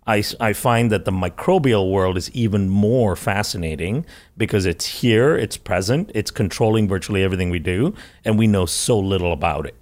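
Podcast guest, middle-aged man, lowish voice, eager and chatty, rambles through his answers. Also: normal speed, darkish tone. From Malaysia and India. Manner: enthusiastic, rambling, laughs often. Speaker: guest microbiologist. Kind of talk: conversational interview answers.

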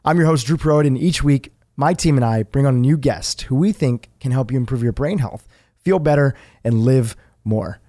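A man hosting a podcast, reading a guest introduction and asking questions in a smooth, measured way. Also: medium pitch, warm tone, dry recording, clean studio sound. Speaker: podcast host.

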